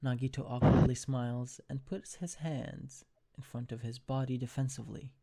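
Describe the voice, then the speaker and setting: Mellow fan fiction narrator. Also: medium pitch, fairly even intonation, moderate pace.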